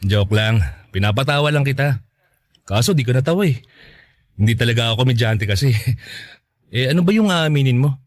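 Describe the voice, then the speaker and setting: A man playing a kind, gently joking young businessman in a Filipino radio drama. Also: mid-range voice, animated, normal speed, pauses often, no room sound, studio quality, natural voice. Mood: friendly, joking.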